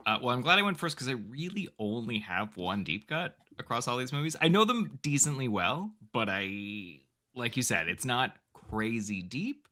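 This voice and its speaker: Male co-host, casual chat, bright forceful tone, animated delivery. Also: mid-range voice, moderate pace, hardly any pauses. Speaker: podcast co-host.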